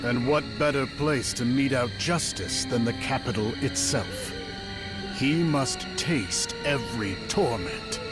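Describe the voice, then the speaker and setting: A man voices a cold, imperious warlord for a video game. He speaks very slowly at a middling pitch, measured and menacing, as one who expects to be obeyed. Music plays behind him. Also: natural voice. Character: cold, imperious warlord lord, cruel and unyielding. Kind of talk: dramatic video game acting.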